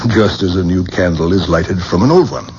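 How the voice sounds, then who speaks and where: An actor playing a composed, learned expert on reincarnation in a 1970s radio drama, explaining things in a measured, patronizing, professorial way. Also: male voice, low voice, darkish tone, lightly acted. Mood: measured, lecturing. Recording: muffled, noisy 1970s radio broadcast.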